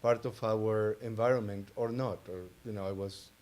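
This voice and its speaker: Man, Argentine accent, lowish pitch, hesitant, pausing, informal panel talk. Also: steady tone, darkish tone. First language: Spanish. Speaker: panelist, art advisor.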